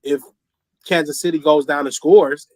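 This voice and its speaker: Slightly hoarse man, laid-back football talk. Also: a little high, fairly even intonation, normal speed, dry recording. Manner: laid-back, fillers like "you know what I'm saying". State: a little hoarse from a cold. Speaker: sports show co-host. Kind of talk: casual sports talk.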